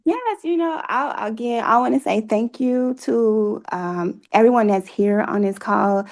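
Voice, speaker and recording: A woman's voice: a little high, a little slow. An American woman speaking as an interview guest in an earnest, encouraging, motivational way. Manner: encouraging, faith-filled. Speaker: guest, stage play cast member and organizer.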